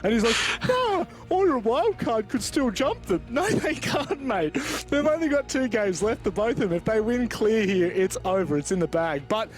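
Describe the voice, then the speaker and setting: A man's voice: very high voice, sing-song, moderate pace, hardly any pauses, soft background music. A man commentating live on an esports match in a chatty, teasing, jokey way.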